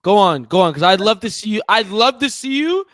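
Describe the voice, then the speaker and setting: A man's voice: high voice, very animated, brisk, a bit bright, no room sound, clean studio sound. A man co-hosting a casual chat, bantering with teasing, incredulous questions. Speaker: podcast co-host.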